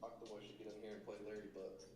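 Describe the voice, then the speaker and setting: A man's voice: fairly low pitch, little pitch movement, racing. A man joining a voice-acting game stream, joking casually with the host. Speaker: co-player.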